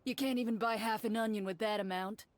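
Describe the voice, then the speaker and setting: A woman's voice: on the high side, steady tone, quick pace, no echo, close to an everyday voice. A woman playing a sneering greedy merchant. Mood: scornful. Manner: haughty, mocking. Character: greedy, scornful male merchant. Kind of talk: video game voice acting.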